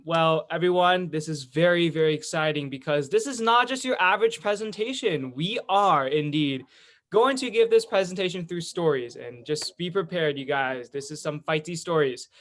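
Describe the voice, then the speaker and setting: A man's voice: high voice, a bit bright. A teenage male student, giving an informal online presentation in a chatty, enthusiastic storytelling way. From California, United States. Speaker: student presenter, education executive of a youth charity.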